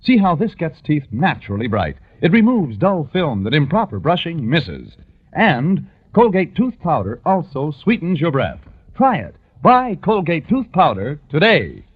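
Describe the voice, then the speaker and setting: A man's voice: fairly high pitch, huge pitch swings, unhurried, slightly rough. A man delivering a scripted 1940s radio commercial as an announcer. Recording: tinny 1940s radio recording.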